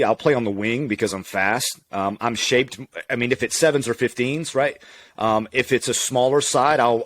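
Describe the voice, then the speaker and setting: A man from Louisiana, maybe in his thirties, a physical therapist telling stories in a relaxed, candid podcast interview and laughing easily. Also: mid-range voice, no echo. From Louisiana, USA. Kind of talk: casual conversational interview.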